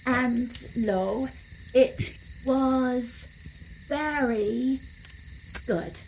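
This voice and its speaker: An actress playing a gentle young woman in an audio drama, learning to read in a soft, hesitant, breathy voice. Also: on the high side, drawn-out words, slight background noise, heavily acted, poor audio. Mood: halting, delighted.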